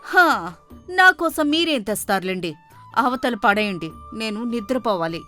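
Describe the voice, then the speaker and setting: A woman voicing a shrewish, quarrelsome wife in an animated tale, scolding and complaining. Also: a little high, unhurried, soft background music. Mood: dismissive.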